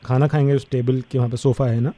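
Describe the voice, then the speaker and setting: A man's voice: mid-range voice, steady tone, fast talker, dark tone, dry recording. A man posing as an officious, insistent assistant on a prank phone call.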